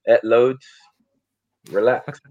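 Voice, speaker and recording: A man's voice: mid-range voice, steady tone, very slow, a little mellow. A laid-back man chatting casually on a podcast in brief phrases. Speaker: podcast guest, regular podcaster. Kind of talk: casual chat. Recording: muffled lo-fi microphone.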